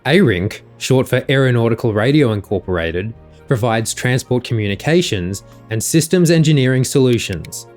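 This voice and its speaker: A man reading a scripted explanatory narration in a measured, precise, instructional way. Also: mid-range voice, animated, darkish tone. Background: music.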